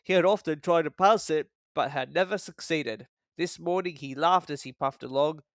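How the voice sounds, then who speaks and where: A man's voice: fairly high pitch, normal speed, no echo, studio quality. A man reading a scripted children's story as the narrator.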